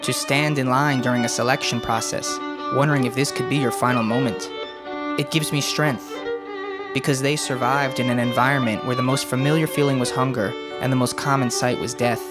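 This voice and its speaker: A young man narrating a documentary in a measured, earnest, scripted way. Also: medium pitch, steady tone. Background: violin music.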